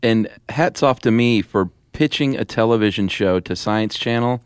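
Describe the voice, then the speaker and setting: A man's voice: fairly low pitch, moderate pace, smooth, no echo, clean studio sound. An American man chatting casually as a podcast co-host. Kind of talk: casual conversational podcast chat.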